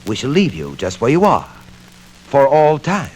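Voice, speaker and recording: Suave, taunting crime boss, swooping delivery. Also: male voice, mid-range voice, wildly swooping pitch, normal speed, darkish tone, breathy edge, poor audio. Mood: cold, gloating. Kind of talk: 1940s radio acting. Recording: old radio show record with surface hiss.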